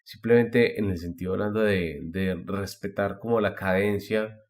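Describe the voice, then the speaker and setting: A man hosting a casual podcast chat about anime, explaining things in a rambling, halting way with repeated words. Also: deep voice, steady tone, darkish tone. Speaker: podcast host.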